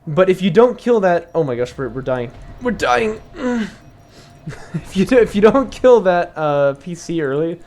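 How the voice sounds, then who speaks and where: Young man, slightly raspy voice, somewhat high pitch, casual stream teaching, chatty, many fillers and laughs. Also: very animated, a little slow, a little mellow. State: slightly raspy from long talking. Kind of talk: casual live-stream commentary.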